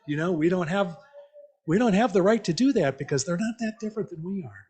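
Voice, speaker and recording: A man giving an informal, interactive church message in a conversational, reflective, halting way, often checking in with questions. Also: high voice, animated, darkish tone, slightly rough. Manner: invites listeners with questions. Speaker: lay church speaker.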